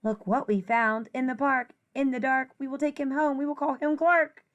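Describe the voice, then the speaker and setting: A woman teacher reading a rhyming children's picture book aloud in an expressive, sing-song way. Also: high-pitched, normal speed, lo-fi sound.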